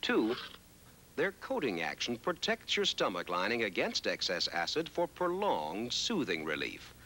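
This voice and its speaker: A man's voice: lowish voice, wildly swooping pitch, unhurried, a bit bright, a little hiss or hum. A man reading a scripted 1960s television commercial as its announcer, on old broadcast audio.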